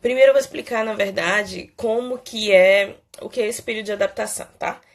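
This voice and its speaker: A woman from Salvador, a psychologist hosting a casual live Q&A, hoarse and stuffy from a cold, chatty and peppering her talk with "né". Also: mid-range voice. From Salvador, Bahia, Brazil. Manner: chatty, fills pauses with "né" and "é". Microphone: phone.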